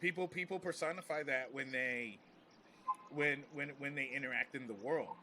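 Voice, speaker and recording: A man's voice: mid-range voice, normal speed, noisy background. An American man talking casually and with strong opinions on a podcast, emphatic and repeating phrases as he builds a point. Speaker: podcast host, comedian.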